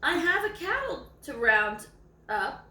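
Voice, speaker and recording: Woman reading as a lazy old hound dog, high-voiced. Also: big pitch swings, slow, sharp tone, echoey room, recorded from a distance, heavily acted. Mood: self-important. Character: lazy, self-important old male ranch hound dog.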